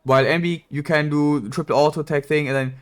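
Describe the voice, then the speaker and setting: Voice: medium pitch, fairly even intonation, quick pace. A game streamer chatting casually on a live stream in a blunt, slangy way, with some swearing. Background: video game dialogue.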